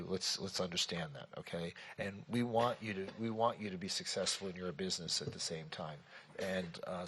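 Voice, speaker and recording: A man's voice: sits low, a bit bright, slight background noise. A man chairing a city commission meeting, speaking informally and reassuringly to members of the public.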